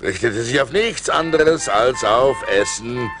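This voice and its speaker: A man's voice: medium pitch, animated, measured pace, over music. An actor playing an adventurous seafarer recounting his voyages in a theatrical, precise German audio-play style, on a vintage vinyl record. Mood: grave, dramatic. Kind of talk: dramatic scripted storytelling, audio play.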